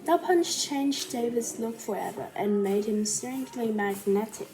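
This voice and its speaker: A woman reading a children's biography aloud like a storybook, carefully and a little haltingly. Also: fairly high pitch, low-quality recording. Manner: careful, halting reading with stumbles and self-corrections. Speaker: storybook reader.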